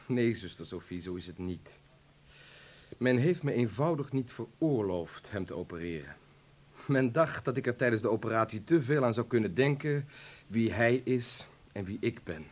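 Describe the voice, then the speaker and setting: A weary male doctor in a radio drama, played by an actor. He is principled and tormented, with a very lively, wide-ranging pitch as he defends himself. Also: moderate pace, close to an everyday voice. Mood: defensive, resigned. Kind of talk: Dutch radio drama acting.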